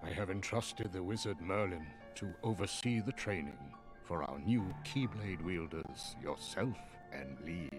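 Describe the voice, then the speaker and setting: An actor voicing a stern, wise old wizard master in a video game, speaking formally and gravely in measured phrases. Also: male voice, deep voice, expressive, over music. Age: older. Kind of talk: video game cutscene acting.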